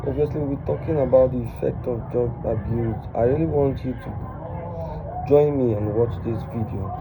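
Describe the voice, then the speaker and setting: A man's voice: medium pitch, normal speed. A man hosting, speaking in the manner of a video introduction. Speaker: host. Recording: noisy urban outdoor sound.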